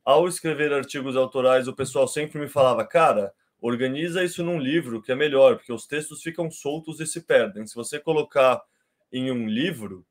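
A Brazilian man in his thirties, speaking with a Brazilian Portuguese accent. He talks at a relaxed, unhurried pace, explaining things step by step and restarting his sentences often. His pitch sits in an ordinary middle range for a man. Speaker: podcast guest. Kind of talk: casual conversation.

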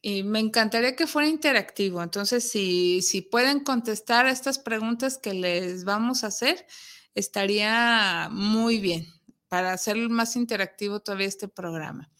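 Bright-voiced Mexican woman hosting a show. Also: medium pitch, moderate pace. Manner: explanatory, poses rhetorical questions. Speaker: host of an interactive talk show. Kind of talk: conversational presenting to an audience.

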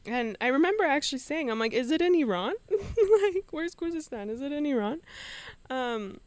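A woman's voice: high voice, lively intonation, moderate pace, a little mellow, no room sound. A young woman hosting a solo podcast, telling a personal story in an emotional, meandering way and laughing often. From Canada. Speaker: podcast host.

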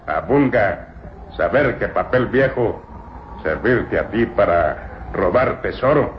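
A man acting a servile, simple-minded servant in an old Latin American radio serial, speaking halting, broken sentences. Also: fairly low pitch, animated. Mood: eager, servile. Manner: halting broken speech, bare infinitives. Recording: muffled old radio recording, faint noise.

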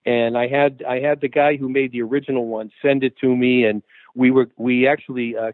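A man's voice: medium pitch, level tone, brisk, no echo. Man rambling over a tinny phone line. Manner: rambling, many restarts and fillers. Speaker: guest musician, guitarist. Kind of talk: casual interview talk.

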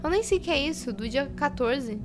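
A woman's voice: high voice, lively intonation, a little fast. An actress playing a frazzled, impulsive young woman fretting over money, with a Brazilian accent, in an audio drama. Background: music behind the voice.

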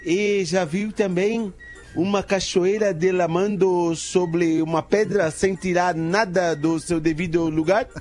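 A man voicing a pensive, patient kung fu master who asks flowery questions slowly and solemnly in a comic radio joke. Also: sits high, fairly even intonation, darkish tone, soft background music.

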